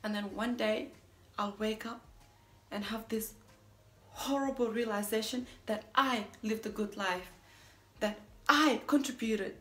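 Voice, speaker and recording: A woman's voice: a little high, a little slow, long pauses, pushed and bright. A woman performing a theatrical monologue as a self-declared mean young woman resisting a marriage proposal, dramatic and emphatic. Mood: exasperated begging. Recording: echoey room.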